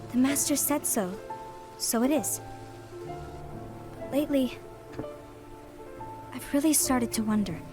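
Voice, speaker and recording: A gentle, troubled young woman, voiced by a woman in a fan dub, speaking softly and earnestly in a fairly high voice. Music and rain sit behind her. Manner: soft, earnest, questioning.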